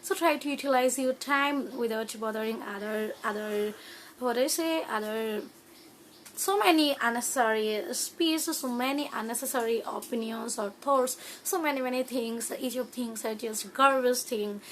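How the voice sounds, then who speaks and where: A young Bangladeshi woman, a native Bengali speaker, hosting her own channel and talking to viewers in halting English full of "uh" fillers and restarts. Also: a little high, a little hiss or hum. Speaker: YouTube channel host. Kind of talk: casual talk to camera on learning English.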